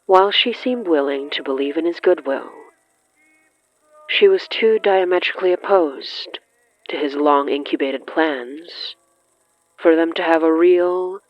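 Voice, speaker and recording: A narrator reading scripted dramatic horror fiction in a measured, deliberate way with dramatic pauses. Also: medium pitch, no echo, muffled recording. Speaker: narrator of an audio fiction podcast. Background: faint music.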